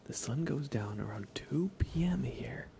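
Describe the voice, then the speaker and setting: A soft-spoken man doing casual ASMR game commentary on a live stream, often slipping into whispers. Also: mid-range voice, animated, unhurried. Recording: clear background noise.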